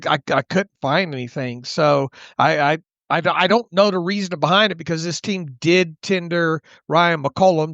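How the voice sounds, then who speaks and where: Halting Southern US man, slightly higher voice. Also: a bit bright, dry recording, highs cut off. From the southern United States. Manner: halting, stumbles and repeats words. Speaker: sports podcast co-host. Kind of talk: casual sports discussion.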